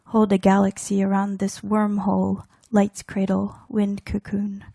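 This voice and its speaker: A woman recites her poems with deliberate care, setting down short fragments and weighted pauses. Her pitch moves within a very narrow span, and her tone is soft-edged and mellow. The sound is close and dry. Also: completely flat delivery, gravelly, no echo. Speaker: poet reading own work. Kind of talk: poetry reading, measured recitation.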